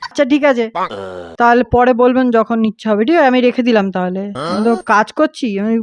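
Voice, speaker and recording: A man's voice: very high voice, fast talker. A man with an Indian Bengali accent chatting casually on a prank call, teasing and often repeating himself. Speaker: prank caller, video host. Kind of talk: casual phone chat. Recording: muffled, faintly noisy call recording.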